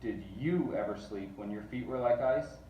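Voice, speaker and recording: A man reading a children's storybook aloud in rhythmic verse with animated delivery. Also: medium pitch, moderate pace. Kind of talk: expressive storybook read-aloud. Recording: distant mic, roomy echo, faint noise.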